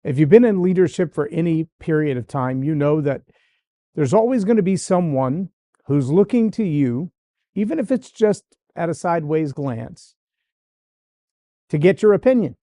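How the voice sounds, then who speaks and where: A male podcast host delivers an emphatic monologue, piling up short parallel phrases to drive each point home. His voice sits a little high yet has a warm, mellow tone. The sound is dry and close, with no room in it. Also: no echo. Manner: emphatic, repeats phrases in building lists.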